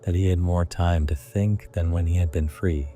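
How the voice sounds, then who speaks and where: A man narrating a scripted guided sleep meditation in a hushed, deliberate way, in short phrases. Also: sits low, fairly even intonation, faint music behind.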